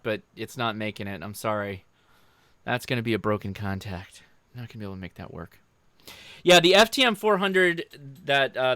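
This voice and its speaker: A man's voice: medium pitch, bright, forceful tone, clean tone, no room sound. An American man hosting a casual livestream, informally joking and talking directly to viewers as he answers their questions. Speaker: livestream host.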